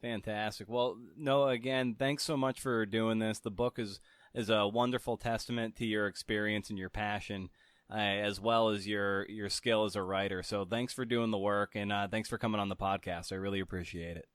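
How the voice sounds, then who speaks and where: A man with a fairly low voice speaks as a podcast host in a loose, informal style, rephrasing himself as he goes. The sound is close and dry. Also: fairly even intonation, moderate pace, a bit bright, clean tone, no echo. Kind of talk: conversational interview and podcast narration.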